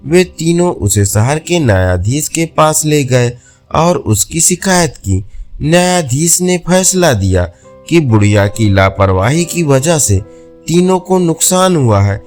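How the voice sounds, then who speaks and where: A man's voice: a little high, a little mellow. A man narrating a scripted folk tale as a storyteller.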